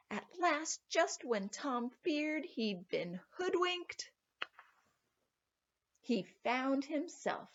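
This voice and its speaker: A woman reading a children's story aloud in an expressive, dramatic storytelling manner. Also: fairly high pitch, highs cut off. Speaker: storybook reader.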